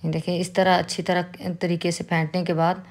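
A woman with a Pakistani Urdu accent, calmly explaining a recipe step by step as a cooking host. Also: fairly low pitch, moderate pace. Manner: calm, instructive, with religious greetings. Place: home kitchen.

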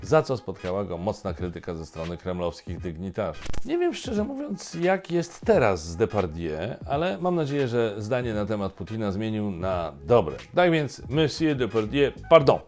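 A man's voice: fairly low pitch, sing-song, normal speed, few pauses, darkish tone. A middle-aged man hosting a satirical news show, delivering scripted commentary with ironic, emphatic asides from a home study.

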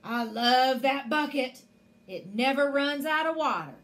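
A woman voicing a mean, boastful, greedy giant man in a storybook, loud and angry, declaiming. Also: high-pitched, animated, measured pace. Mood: gloating. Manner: chanting, declaiming.

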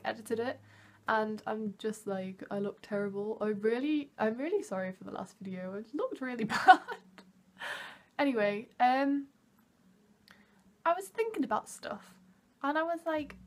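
High-voiced giggly young woman vlogging. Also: fairly bright tone. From Wales. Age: early 20s. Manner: chatty, giggly, self-deprecating. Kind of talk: casual chat to camera. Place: bedroom, indoors. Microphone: camera at arm's length.

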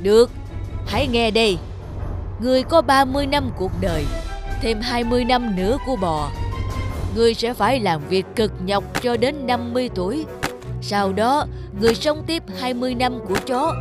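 A woman's voice: a little high, lightly acted. Woman playing a stern old God, music behind. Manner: solemn, commanding, scolding. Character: stern old male God handing out lifespans. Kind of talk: animated fable dubbing.

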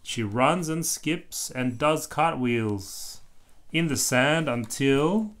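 A man reads a children's story aloud at an unhurried pace. His pitch moves widely and expressively, and he breaks off for casual explanations and little questions to the child. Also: expressive, fairly bright tone. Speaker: parent reading aloud to a child. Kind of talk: picture-book read-aloud with casual asides.

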